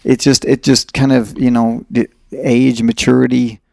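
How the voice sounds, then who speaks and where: A man's voice: mid-range voice, little pitch movement, a little slow, gravelly, a little mellow, no room sound, clean studio sound. A middle-aged man talking in a casual, reflective and blunt way as he hosts a podcast conversation.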